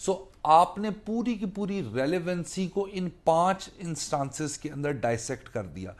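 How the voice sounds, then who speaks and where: Pakistani guy teaching law, voice a bit high, emphatic, repeats himself, flips between urdu and english. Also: animated, unhurried, a little mellow. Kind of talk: explanatory legal lecture to a co-host.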